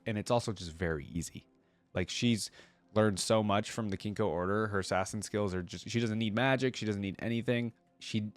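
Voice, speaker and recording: A man's voice: low voice, no echo. A man hosting a reaction video, commenting casually in an informal, slangy, enthusiastic fan style. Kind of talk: casual reaction commentary and story read-aloud.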